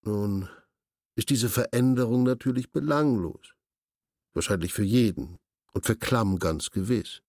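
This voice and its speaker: An actor playing a curt, imperious senior official in a radio drama, speaking in a formal, pedantic, bureaucratic manner. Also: male voice, lowish voice, measured pace, darkish tone, dry recording, clean studio sound. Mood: officious, detached. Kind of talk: German radio drama acting.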